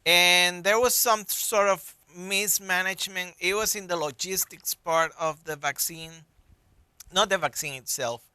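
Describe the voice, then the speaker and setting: A man's voice: high-pitched, unhurried, sharp tone, smooth, dry recording. A man in his fifties with a Colombian accent, a guest doctor talking earnestly in an interview, often repeating words as he gathers his thoughts. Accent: Colombian Spanish. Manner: earnest, repeats words while finding them. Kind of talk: conversational interview.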